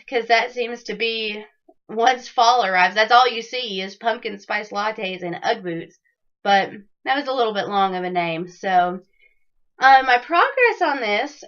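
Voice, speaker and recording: A woman with a somewhat high voice hosts a casual knitting podcast, chatty and upbeat with plenty of ums. She sounds a little raspy from a cold. The audio is dull and lo-fi. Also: a bit bright. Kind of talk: casual video podcast chat.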